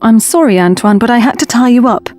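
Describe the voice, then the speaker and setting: A woman's voice: on the high side, fairly quick, darkish tone, soft background music, natural voice. A woman playing a caring, troubled young time traveller in an audio drama, earnest and confiding. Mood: regretful, earnest.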